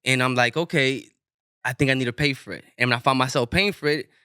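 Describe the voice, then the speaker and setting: A man's voice: medium pitch, a little fast, clean tone, no room sound, clean studio sound. A man from Inglewood, California, talking loosely as a rapper guest on a podcast, swearing freely. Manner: relaxed, loose, swears freely, many restarts. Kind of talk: casual podcast interview.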